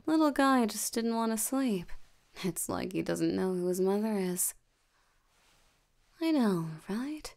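A woman voicing a tired, languid sloth demon in an intimate ASMR roleplay, soft and often nearly whispering, with a drowsy deadpan delivery. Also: mid-range voice, slow, long pauses. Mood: fond, sleepy. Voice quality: soft, creaky, often breathy near-whisper.

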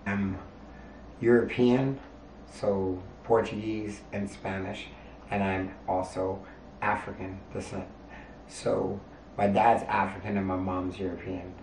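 A middle-aged man from Hawaii chatting on a couch in a family Q&A, with a low, gravelly voice. He jokes and swings from loud bursts to quiet asides. The sound comes from an echoey room with the mic set back and a faint hiss. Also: slow pace, recorded from a distance. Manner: animated, jokey, bursts loud then drops to asides. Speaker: family show host. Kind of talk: casual family Q&A. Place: indoor bedroom, on a couch. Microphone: handheld mic.

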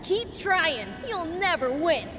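A cocky little demon boy from a video game. The voice is high and scrappy, with a blunt, brash delivery and very animated swings in pitch. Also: slow, slight background noise, exaggerated voice. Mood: taunting. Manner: brash, curt. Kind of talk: video game cutscene dubbing.

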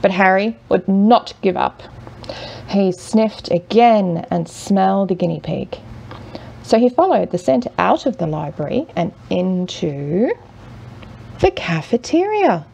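A woman reading a children's picture book aloud as a mother would, expressively and with dramatic pauses. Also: medium pitch, sing-song, vocal fry, slightly rough. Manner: measured, with dramatic pauses and hushed drawn-out words. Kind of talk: expressive children's storybook narration.

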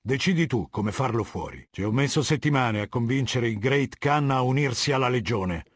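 Video game warlord, middle-aged. Husky, imperious, measured authority; dry studio sound. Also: male voice, mid-range voice, lively intonation, dry recording. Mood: cold, commanding. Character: cold, commanding middle-aged male warlord of a legion. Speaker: voice actor.

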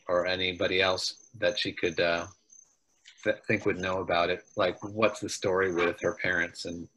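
A man with a low, bright, forceful voice talks over a video call. He muses slowly about his character, with many ums. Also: poor audio. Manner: thoughtful, musing, with many ums. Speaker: player. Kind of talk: casual talk about a character at a tabletop game.

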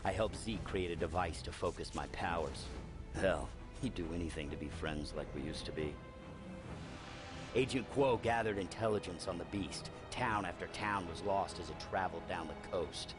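A man's voice: sits low, moderate pace, over music, close to an everyday voice. A man voicing a brooding young male superhero, narrating a game cutscene in a grim, weary way.

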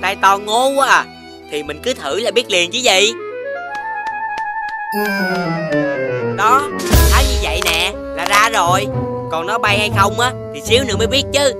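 A woman's voice: mid-range voice, lively intonation, normal speed, close to an everyday voice. A woman voicing a cheeky, chubby young cartoon piglet, childlike and exclamatory, with a southern Vietnamese accent. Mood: cocky. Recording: loud music behind the voice.

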